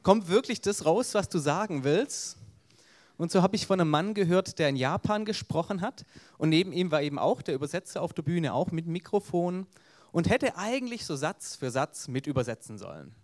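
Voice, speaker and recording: A man's voice: on the high side, animated, moderate pace. A man with a Swabian accent, an evangelist giving an earnest evangelistic sermon with storytelling.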